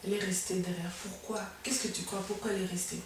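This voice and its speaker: A woman's voice: mid-range voice, fairly even intonation, fast, a little hiss or hum. Woman interviewer in an echoey room. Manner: supportive, frequent short affirmations. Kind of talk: interview questions and backchannel. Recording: echoey room, mic at a distance.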